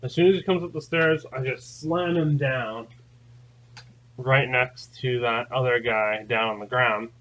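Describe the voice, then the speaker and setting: A man talks at an unhurried, drawn-out pace, and his voice sounds bright and a little strained. He rambles casually and keeps circling back with fillers and restarts. The sound is dull and lo-fi. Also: medium pitch, fairly even intonation. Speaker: channel host. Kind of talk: casual spoken recounting.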